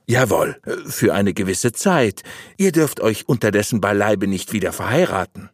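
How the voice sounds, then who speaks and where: A man's voice: fairly low pitch, animated, no echo, clean studio sound. Man voicing a sly, unctuous, lecherous abbot. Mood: matter-of-fact, scheming. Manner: unctuous, persuasive, deliberate. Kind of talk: audiobook storytelling.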